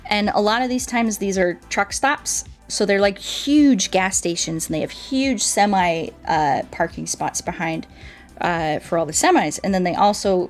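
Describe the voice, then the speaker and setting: An American woman chatting casually as she streams a game, telling things in an animated way and repeating herself for emphasis, with music behind her. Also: mid-range voice. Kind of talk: casual chat while playing a game, reading viewer comments.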